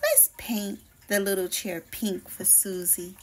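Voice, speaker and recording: A woman's voice: mid-range voice, steady tone, a little hiss or hum, voice pushed down, lightly acted. A woman voicing a sulky, determined little boy in a children's storybook. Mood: eager. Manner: slow, muttering and exclaiming.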